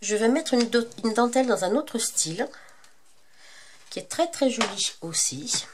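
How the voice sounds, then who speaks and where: A woman's voice: fairly high pitch, expressive, measured pace, noisy background. A woman with a French accent hosting a crafting tutorial while she has a cold, talking casually and hesitantly, with many fillers and self-corrections. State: congested with a cold. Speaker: crafting channel host.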